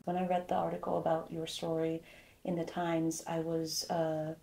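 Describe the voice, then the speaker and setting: A woman in her thirties co-hosts a casual podcast, her voice mellow and a little low. She talks in a thoughtful, measured way. The sound carries an echoey room. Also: completely flat delivery, gravelly. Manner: thoughtful, measured, switches to Mandarin. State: pregnant. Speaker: podcast co-host.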